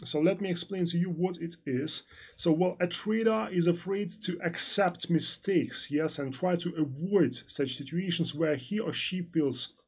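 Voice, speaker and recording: A man's voice: sits high, measured pace, breathy edge. A man working as a financial analyst, hosting a live-stream trading lesson and answering viewer chat in a loose, rambling way full of fillers and restarts.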